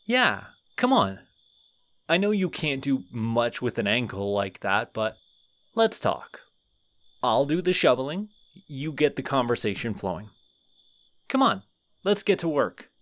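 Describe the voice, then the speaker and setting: A man's voice: medium pitch, sing-song, unhurried, pauses often, a bit bright, dry recording. A man playing a lonely, awkward skeleton. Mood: cheerful. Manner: rambling, sheepish, over-apologetic. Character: friendly awkward male skeleton, lonely and eager. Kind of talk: audio roleplay to a listener.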